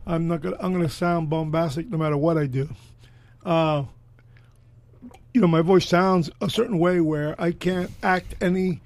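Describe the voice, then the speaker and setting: Hoarse, bombastic older man. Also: a little high, steady tone, moderate pace, darkish tone. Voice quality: hoarse, gravelly voice. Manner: gregarious, bombastic, argumentative. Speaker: podcast co-host. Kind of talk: casual political chat.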